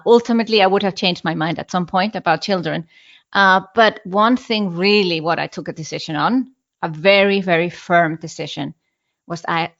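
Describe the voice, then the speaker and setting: A middle-aged woman from Iceland with an Icelandic accent, telling a personal story as an interview guest in a deliberate way, repeating phrases for emphasis. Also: on the low side, fairly bright tone, dull audio. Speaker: interview guest, business coach.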